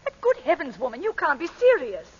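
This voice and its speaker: An exasperated, imperious grand lady. Her very high voice swoops with haughty indignation, in a dull vintage radio play recording. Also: huge pitch swings, a little fast, a little hiss or hum. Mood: indignant. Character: imperious, exasperated English duchess, haughty and sharp-tongued. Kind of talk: 1940s radio drama acting.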